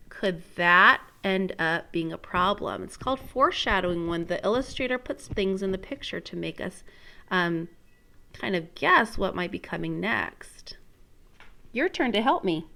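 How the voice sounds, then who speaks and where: A woman teacher reading a storybook aloud in an expressive, instructional way, prompting listeners with questions. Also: medium pitch. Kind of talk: interactive storybook read-aloud lesson.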